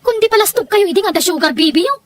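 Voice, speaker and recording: A woman's voice: very high voice, animated, quick pace, no echo. A woman playing an outspoken, suspicious relative in a Filipino audio drama, speaking bluntly and scornfully. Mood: scornful, scolding.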